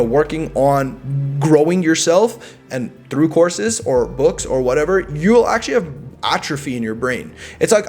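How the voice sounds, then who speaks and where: A man's voice: a little high, slightly rough. A young man vlogging, giving casual self-improvement advice in an emphatic way. He often repeats words and adds "right?".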